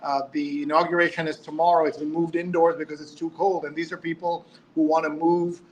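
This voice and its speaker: A man's voice: fairly high pitch, flat delivery, brisk, slight background noise, low-quality recording. A man hosting a live talk show in a casual, chatty way, drifting into asides and reading out viewer comments.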